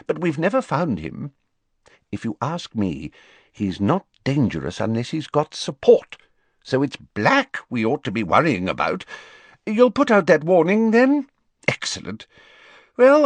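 A man's voice: fairly high pitch, huge pitch swings, no room sound, pitched above the performer's own voice, heavily acted. A man voicing a flustered, blustering wizard minister. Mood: bluffing. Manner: brisk, bluffing and airy. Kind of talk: audiobook character voice.

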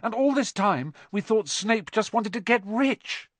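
A man's voice: high-pitched, expressive, a little slow, dry recording, pitched above the performer's own voice. A man voicing an earnest, agitated young boy in an audiobook, with an urgent, feverish manner. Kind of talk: audiobook character dialogue.

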